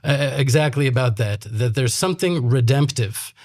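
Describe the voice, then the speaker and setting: A man's voice: medium pitch, normal speed, darkish tone. A man born in Texas and living in Israel, co-hosting a casual panel talk in an earnest, heartfelt manner and quoting Hebrew scripture. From born in Texas, USA, living in Israel. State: came straight from reserve duty. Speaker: co-host.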